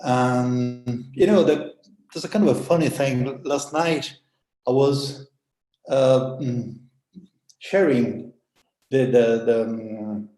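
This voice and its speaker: A man's voice: medium pitch, slow pace, mellow, roomy sound, poor audio. A man whose native language is Italian, giving a hesitant conference talk on an online panel, with many fillers and restarts. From Italy.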